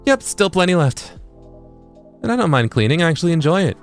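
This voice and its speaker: A man voicing a gentle, eager, self-effacing café helper in a video game, with a hesitant, earnest manner. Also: on the high side, very animated, a little fast, faint music behind, pitched above the performer's own voice.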